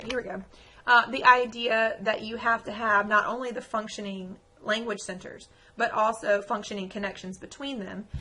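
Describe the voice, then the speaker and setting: A woman lecturer with a croaky voice, giving a conversational exam review with frequent ums. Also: medium pitch, pushed and bright. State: croaky, recovering from lost voice. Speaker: psychology lecturer.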